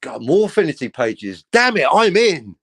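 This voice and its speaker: A man's voice: a little high, sing-song, moderate pace, a bit bright, dry recording, studio quality. A man bantering on a livestream in a teasing, wry, mock-grumpy way.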